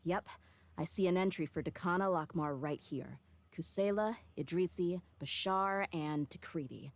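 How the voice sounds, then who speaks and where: A woman playing a cool, shrewd, level-headed mercenary in a video game, speaking in a measured, analytical way. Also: on the low side, natural voice.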